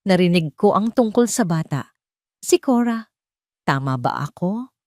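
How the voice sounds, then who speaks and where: A woman's voice: lowish voice, lively intonation, measured pace, a little mellow, no room sound, studio quality. A woman voicing a stern, haughty mother who scolds her grown son in a hard, reproachful manner.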